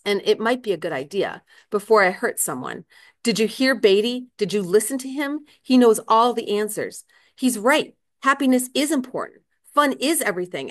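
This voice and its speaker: A woman's voice: on the high side, fairly bright tone, voice pushed up. A woman voicing a desperate, agitated husband in a novel reading, speaking with urgent, earnest pleading.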